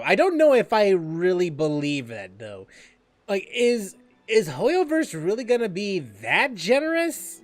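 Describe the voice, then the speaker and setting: High-voiced, lively male gaming streamer. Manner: expressive, repeats phrases, slangy. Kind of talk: casual game playthrough commentary.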